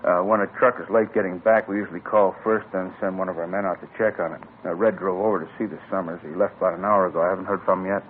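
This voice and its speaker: A man's voice: low voice, steady tone, quick pace, hardly any pauses, lightly acted. A man playing a grumbling, plain-spoken truck rental manager in a 1950s radio drama, on a tinny old broadcast with faint hiss. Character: harried middle-aged male truck rental manager.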